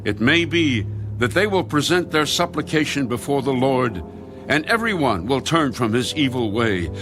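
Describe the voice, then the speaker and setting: An actor playing an earnest Hebrew prophet in a dramatized audio Bible, giving instructions in a grave, urgent, declamatory way. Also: male voice, medium pitch, animated, normal speed, fairly bright tone, faint music behind, close to an everyday voice. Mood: grave urging.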